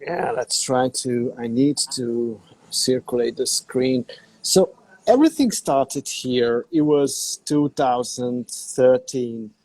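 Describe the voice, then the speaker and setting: Middle-aged Hungarian man, unhurried, dark mellow timbre. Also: medium pitch, no room sound, low-quality recording. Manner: unhurried, searching for words in a second language. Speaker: interview guest, digital artist. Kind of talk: casual interview on a call. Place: cafe in a small town.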